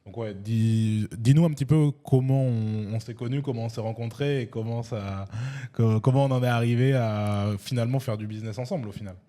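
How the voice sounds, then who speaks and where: A man's voice: mid-range voice, brisk, dark tone. A young man hosting a casual sit-down interview, talking loosely with restarts and fillers. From France. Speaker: host and e-commerce coach.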